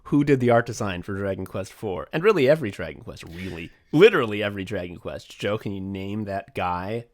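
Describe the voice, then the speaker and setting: Rambling, excitable young man swearing casually. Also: lowish voice, brisk. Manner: rambling, profane, excitable, laughs often. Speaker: podcast co-host and writer. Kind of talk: casual chat.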